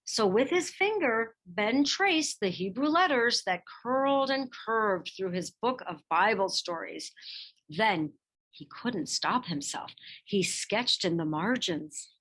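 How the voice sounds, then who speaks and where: A woman reading a children's picture book biography aloud in expressive narration, with measured phrasing and dramatic emphasis. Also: medium pitch, rough recording. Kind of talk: expressive scripted storybook narration.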